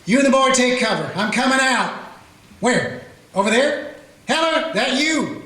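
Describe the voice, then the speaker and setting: Frontier sheriff, played by a man, calm folksy narrator, high-ish voice, roomy echo. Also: a little slow, full character voice. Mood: steady. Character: small-town Old West sheriff, steady and dry. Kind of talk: radio play acting. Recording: echoey room.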